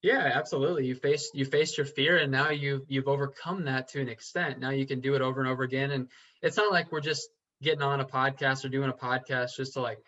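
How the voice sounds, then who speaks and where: A man speaking as a podcast guest in an earnest, halting way, often stopping to restart his sentences. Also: mid-range voice, steady tone, pushed and bright. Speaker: podcast guest, real estate investor. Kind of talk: casual interview chat. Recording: slightly dull lo-fi sound.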